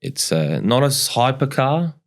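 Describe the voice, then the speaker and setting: A man's voice: medium pitch, very animated, normal speed. A young man telling his story as a podcast guest in casual chat, repeating words and laughing often. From grew up partly in Lebanon.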